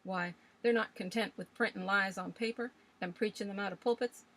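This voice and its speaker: A woman reading aloud voices a cantankerous old rustic man who speaks loudly with scornful bluster and broken country grammar. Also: medium pitch, fairly even intonation, brisk, lightly acted. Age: older.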